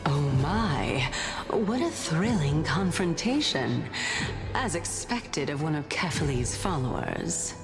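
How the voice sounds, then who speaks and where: A woman's voice: low-pitched, very animated, slow pace, full character voice. An actress playing an amused, elegant and mysterious woman in a video game, playful and theatrical. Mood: teasing. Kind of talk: dramatic game voice acting. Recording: background music behind the voice.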